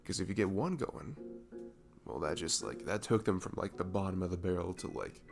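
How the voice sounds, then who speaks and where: A very low, crackly male voice of an actor as a sweet, comforting barista in an ASMR roleplay. He rambles gently and hesitantly at an unhurried pace, close up, with music playing behind him. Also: expressive. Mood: comforting, musing. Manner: gentle, rambling, hesitant, breathy chuckles and sighs. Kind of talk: ASMR roleplay, soft casual chat.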